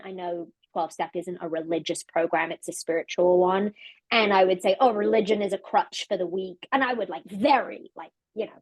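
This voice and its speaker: A woman's voice: mid-range voice, no echo, low-quality recording. A woman with an English accent, a guest coach talking about her life in an earnest, reflective way, often restarting her phrases. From London. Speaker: podcast guest, leadership coach. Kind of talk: casual conversation.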